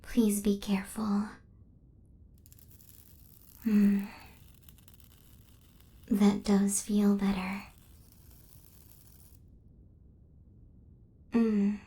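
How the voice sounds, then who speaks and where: A woman playing an injured, devoted female killer robot in an intimate ASMR roleplay, with a soft, breathy half-whisper and formal, precise phrasing. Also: medium pitch, monotone, very slow, long pauses. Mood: calm, analytical.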